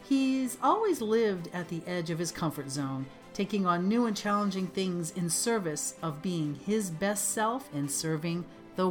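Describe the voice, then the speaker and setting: A woman's voice: lowish voice, fairly bright tone. A woman hosting a podcast interview, upbeat and enthusiastic. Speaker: podcast host.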